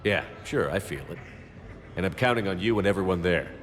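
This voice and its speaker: A man playing a calm, supportive military commander in a video game, speaking in a measured, understated way. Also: low-pitched. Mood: sincere. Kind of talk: game dialogue acting. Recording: reverberant, faint music behind.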